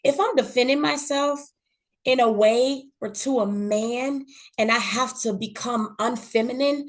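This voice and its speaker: Earnest woman, fairly high voice, candid chat. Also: a little slow. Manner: earnest, repeats words, often says "you know what I'm saying". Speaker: podcast guest. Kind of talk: candid conversational talk.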